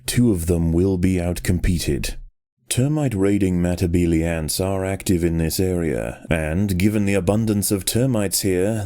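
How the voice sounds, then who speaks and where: Deep, mellow male documentary narrator. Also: dry recording, clean studio sound. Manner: measured, solemn, dramatic delivery. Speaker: video game narrator. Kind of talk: scripted nature documentary narration.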